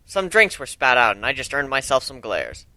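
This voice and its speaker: Bright-voiced man reading a story. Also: mid-range voice, sing-song, moderate pace, no room sound. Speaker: amateur narrator. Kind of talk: dramatic story reading, first-person narration.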